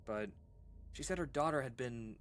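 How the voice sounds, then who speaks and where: An actor playing a shaken man who recounts an eerie memory in a halting, casual, earnest way, like a caller in a radio drama. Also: mid-range voice, expressive, a little hiss or hum, natural voice. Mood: uneasy, confiding. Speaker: caller telling a paranormal story on a radio show. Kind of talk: conversational scary storytelling, audio drama monologue.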